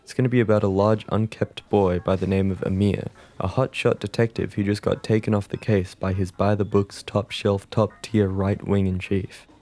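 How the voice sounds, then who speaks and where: A man narrating a comic pitch in a deadpan, wordy, theatrical storytelling way. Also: moderate pace, hardly any pauses.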